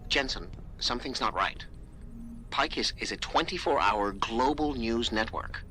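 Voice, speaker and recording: An actor playing a brisk, self-assured male tech expert briefing over comms in a video game, crisp in manner. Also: medium pitch, animated, sharp tone. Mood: brisk, smug.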